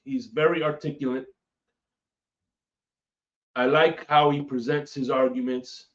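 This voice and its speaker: A man's voice: mid-range voice, a bit bright. A man hosting a casual podcast, talking in an enthusiastic, laid-back way full of slang. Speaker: podcast host. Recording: echoey, distant, dull home mic.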